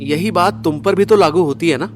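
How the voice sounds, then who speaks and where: A man's voice: a little high, brisk, over music, natural voice. A man playing a persuasive, devoted young man on the run in an audio drama, earnest and coaxing. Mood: challenging. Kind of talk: Hindi audio drama acting.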